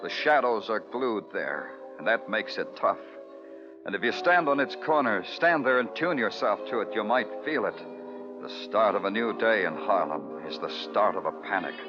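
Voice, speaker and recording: A low-voiced, hardboiled detective played by a male radio actor, weary and brooding. He speaks in terse, moody noir style. The vintage recording is muffled, with music behind. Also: animated, normal speed, close to an everyday voice. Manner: terse, world-weary, poetic. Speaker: lead detective and narrator. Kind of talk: noir radio narration and dialogue.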